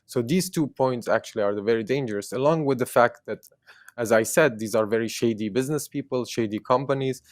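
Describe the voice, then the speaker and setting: A man's voice: mid-range voice, a little fast, no room sound, studio quality. A man from Jerusalem whose first language is Armenian, speaking earnestly as an interview guest, with many fillers and restarts. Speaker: activist guest.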